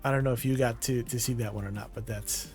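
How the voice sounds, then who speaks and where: A man's voice: medium pitch, steady tone, fast talker, faint music behind. A man in his thirties, a streamer in casual chat while gaming, laid-back, laughing often and trailing off mid-sentence.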